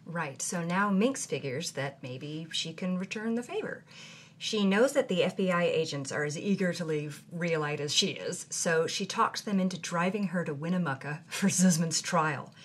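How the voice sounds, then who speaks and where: Husky low-voiced woman co-host. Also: normal speed. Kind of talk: scripted podcast discussion.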